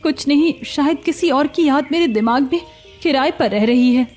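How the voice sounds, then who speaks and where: A woman voicing a hungry young beggar woman in a cartoon story, plaintive and quick to retort. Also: sits high, a little mellow, faint music behind, lightly acted. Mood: sad, confused. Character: hungry young beggar woman, pleading yet sharp-tongued. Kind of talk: Hindi cartoon story acting.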